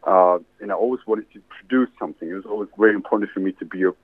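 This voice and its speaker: A man's voice: low-pitched, dry recording. Man on a tinny phone line. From Sweden. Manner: rambling, halting, many restarts. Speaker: interview guest, entrepreneur. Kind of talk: casual interview answers. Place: salad bar.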